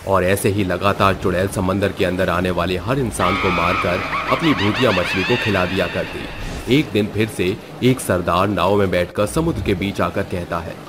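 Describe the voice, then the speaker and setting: A man's voice: low voice, normal speed, very noisy. A man narrating a scripted horror cartoon story.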